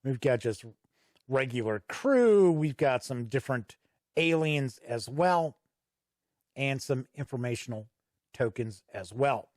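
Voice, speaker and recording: A man giving a casual product walkthrough as a reviewer, conversational and halting with frequent pauses. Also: mid-range voice, lively intonation, a little mellow, no echo, studio quality. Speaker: tabletop game reviewer and host.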